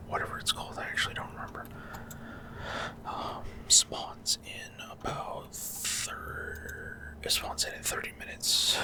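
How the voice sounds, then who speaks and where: A man doing ASMR gameplay commentary in a rambling, hesitant way, often trailing off mid-thought. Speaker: gaming ASMR creator.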